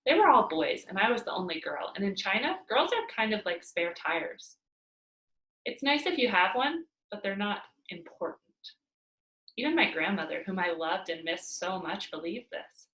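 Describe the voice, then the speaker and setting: Female read-aloud narrator for children's fiction; very lively intonation, roomy home sound. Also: medium pitch, normal speed. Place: indoors at home by a fireplace.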